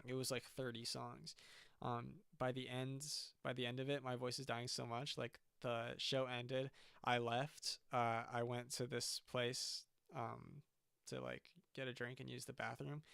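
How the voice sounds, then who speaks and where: A man's voice: mid-range voice, vocal fry, a bit bright, smooth, no room sound, studio quality. A young man, a band's singer, chatting casually on a livestream and often stopping to restart his sentences. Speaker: singer and band frontman.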